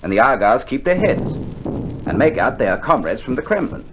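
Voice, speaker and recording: An actor playing a seasoned, unflappable Australian sergeant major, laconic and droll, in an old radio war play. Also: male voice, medium pitch, big pitch swings, normal speed, lightly acted. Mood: amused. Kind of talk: 1960s military radio play acting. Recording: slightly noisy old vinyl recording.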